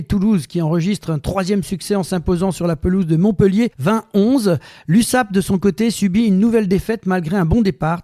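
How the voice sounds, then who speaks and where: A man's voice: sits high, warm tone. A man hosting a sports radio show in a studio, reading results and chatting with guests in a joking way, with many fillers. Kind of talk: casual hosted radio talk.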